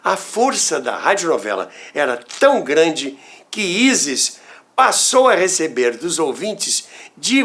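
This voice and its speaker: A man with a Brazilian accent narrating scripted stories about radio history as a host, in a deliberate, dramatic storytelling manner. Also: a little high, a bit bright.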